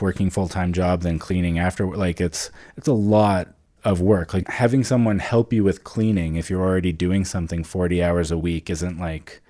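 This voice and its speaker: Deep voiced guy podcast host, mellow, thinking out loud, casual. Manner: informal, hedging, thinking aloud. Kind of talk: casual discussion.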